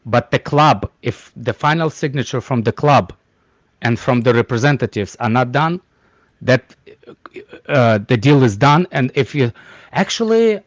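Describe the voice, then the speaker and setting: A man whose first language is Russian, talking bluntly in halting English during a casual group chat, teasing the others. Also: mid-range voice, animated, no room sound, muffled recording. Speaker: panelist.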